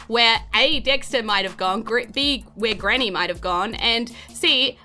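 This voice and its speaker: Bright-voiced, high-pitched New Zealand woman. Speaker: game master and narrator. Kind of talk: narrating a tabletop role-playing podcast.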